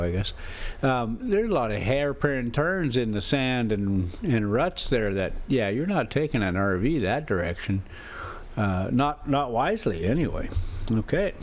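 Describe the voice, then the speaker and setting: Male American vlogger-storyteller. Down-home and informal, medium pitch with big melodic swings, easygoing amateur delivery. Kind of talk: casual rambling chat mixed with reading a classic novel aloud.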